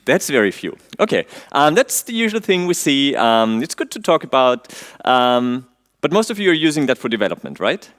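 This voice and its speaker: Austrian-accented male conference speaker, mid-pitched voice, chatty and dry, quizzes the room, lots of "um"s. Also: normal speed. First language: German. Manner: quizzes the audience, frequent "um" fillers, relaxed dry humor. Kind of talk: technical conference talk. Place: conference stage before an audience.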